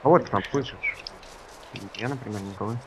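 A man's voice: lowish voice, moderate pace, background noise. A slightly ill man chatting casually with teammates during a group gaming session.

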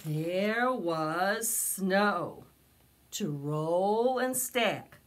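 A woman's voice: mid-range voice, lively intonation, extremely slow. A middle-aged woman reading a picture book aloud to preschoolers, expressively, stretching out words for young listeners. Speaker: library storytime reader. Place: indoors, in front of a decorated backdrop.

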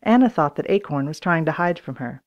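A woman narrating a children's storybook audiobook in an expressive, acted-out reading style. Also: low-pitched, fairly quick, highs cut off. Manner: expressive, acts out dialogue in raised voices.

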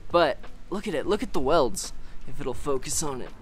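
A young man in his twenties talking casually and excitedly to a handheld camera in his garage and car. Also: a little high, normal speed, soft background music. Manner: excited, rambling, jokey. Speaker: car vlogger. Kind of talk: casual vlog chat. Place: garage, driveway and inside a car. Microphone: handheld camera at arm's length.

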